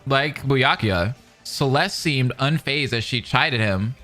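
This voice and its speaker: A man reading narration dramatically and adding excited commentary as a reaction streamer. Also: mid-range voice, slow pace. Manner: animated, dramatic. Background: faint music.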